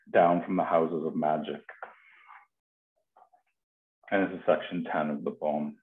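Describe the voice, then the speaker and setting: A man in his thirties or forties hosting a poetry reading informally, speaking on a headset over a video call from a kitchen. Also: deep voice. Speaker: host of a poetry reading series. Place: kitchen, indoors. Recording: roomy, tinny video-call audio.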